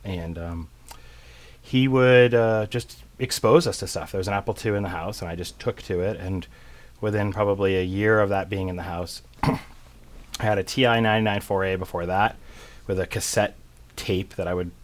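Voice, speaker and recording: A man's voice: low-pitched, fairly even intonation, moderate pace. A middle-aged man from central Massachusetts, a tech company CEO chatting as a guest, rambling and self-deprecating with lots of fillers. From central Massachusetts, USA. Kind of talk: casual interview chat.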